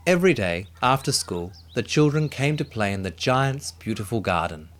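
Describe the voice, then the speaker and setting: A man narrating a children's story in an expressive, dramatic way, with music behind him. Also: medium pitch, moderate pace.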